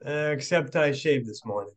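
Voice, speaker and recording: A man's voice: mid-range voice, moderate pace, natural voice. A middle-aged man playing a cheeky, teasing guy in a screenplay table reading over video call. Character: cheeky, tipsy man teasing his relatives.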